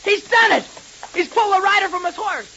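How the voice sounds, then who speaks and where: A young-sounding male actor playing an eager, excitable boy sidekick in a 1930s radio serial. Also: extremely high pitch, a little fast, creaky, full character voice. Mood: thrilled. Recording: muffled, noisy 1930s radio recording.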